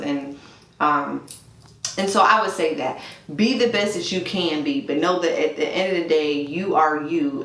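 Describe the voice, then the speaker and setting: A woman's voice: sits low, sharp tone, reverberant, distant mic, low-quality recording. A woman guest talking casually about singing, chatty and full of "like". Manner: chatty, laughs easily, lots of "like". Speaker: guest vocalist.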